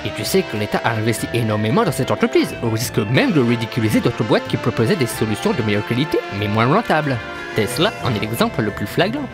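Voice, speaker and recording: A man acts as a shifty smuggler and informant, grumbling and conspiratorial. He talks quickly with an animated rise and fall. Music plays clearly behind the drama dialogue. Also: medium pitch, natural voice. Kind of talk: French audio drama dialogue.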